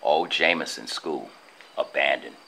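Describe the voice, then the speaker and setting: A man, filming a walking-tour vlog through an abandoned building, talking indignantly and dropping to hushed asides. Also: rumbling low, unhurried, creaky, slight background noise. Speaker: street vlogger. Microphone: handheld camera.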